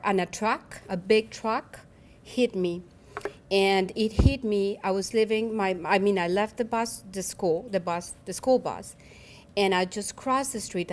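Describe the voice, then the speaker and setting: A woman in her forties with a Colombian Spanish accent, giving a personal keynote speech at a luncheon in an earnest, reflective way, often restarting her phrases. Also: mid-range voice. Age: 40s. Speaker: keynote speaker.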